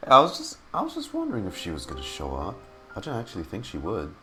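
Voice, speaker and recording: A man's voice: on the low side, huge pitch swings, quick pace, pushed and bright. A man giving casual live commentary as he streams a game, reacting with murmured asides and exclamations. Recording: game music behind the voice. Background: video game music.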